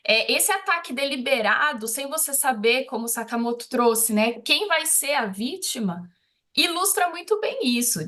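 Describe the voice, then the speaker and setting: A Brazilian woman speaks as an expert on a news program, in a fairly high voice. She explains and analyzes off the cuff, often adding small tags to check that she is being followed. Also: fairly bright tone. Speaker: expert commentator interviewed on a news show. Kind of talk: spontaneous analytical news commentary.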